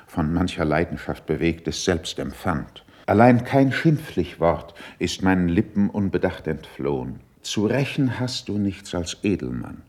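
A cautious, diplomatic courtier in a classical radio drama. His deep, husky voice moves slowly through the verse, swinging widely in pitch for emphasis. He is courteous, composed and persuasive. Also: a little mellow. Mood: conciliatory. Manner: deliberate, courteous, emphatic declamation. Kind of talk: classical German stage verse in a radio play.

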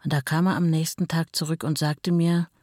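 A woman's voice: sits low, level tone, a little fast, darkish tone, no room sound, studio quality. An actress playing a sorrowful, plainspoken ex-wife reminiscing about her marriage in a documentary-style radio play. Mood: matter-of-fact recollection.

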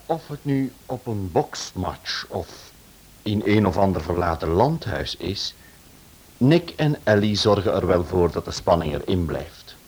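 A man's voice: low voice, sing-song, unhurried, darkish tone, a little hiss or hum, low-quality recording. A man, a radio director giving a scripted introduction to a drama series.

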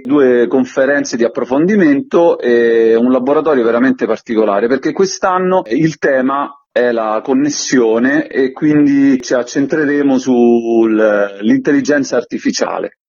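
Husky man formally presenting a programme. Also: medium pitch, lively intonation, a little slow, few pauses, a little mellow, lo-fi sound. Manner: wordy, formal, promotional. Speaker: festival curator being interviewed.

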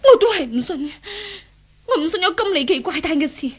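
A high-voiced, anguished young lady. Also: sing-song, a little fast. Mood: bewildered, distressed. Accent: Hong Kong Cantonese. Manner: theatrical soliloquy, exclaiming and lamenting. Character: anguished, suicidal young rich lady haunted by a ghost. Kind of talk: 1970s Hong Kong radio drama acting. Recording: old 1970s radio drama with background music.

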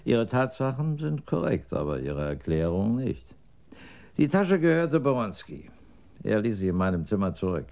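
An actor playing a surly, sly drug dealer who grumbles defiantly and evasively in a radio crime drama. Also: male voice, fairly low pitch, sing-song, moderate pace, vocal fry, darkish tone. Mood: defensive, smug.

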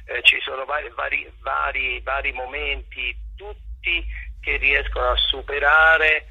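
A raspy-voiced man explaining his work reflectively as a theatre director in an interview, halting and repeating phrases as he searches for words. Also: mid-range voice, fairly even intonation, bright, forceful tone, no room sound. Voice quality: gravelly, raspy. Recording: dull lo-fi radio or telephone sound.

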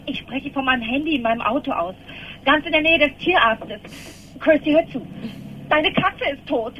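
An actress in a radio play playing a distraught, guilt-ridden, self-pitying wife who rambles tearfully and melodramatically. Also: female voice, sits high, moderate pace, fairly bright tone. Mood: agitated. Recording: noisy mobile call from a car.

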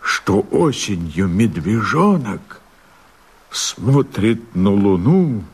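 A wild-hearted peasant rebel of the steppe in a radio play. His voice sits very low and rough with huskiness. He declaims verse with great slowness and weight. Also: very animated, heavily acted. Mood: lyrical, confiding. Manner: slow, weighty, poetic declamation. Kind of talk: theatrical radio drama verse.

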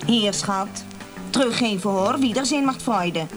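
A woman's voice: mid-range voice, moderate pace, close to an everyday voice. Coy, coaxing call girl played by an actress. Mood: coaxing, businesslike. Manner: coy, teasing, peppered with endearments and foreign phrases. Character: chatty, businesslike motel call girl, coaxing and unhurried. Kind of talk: radio play acting. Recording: music behind.

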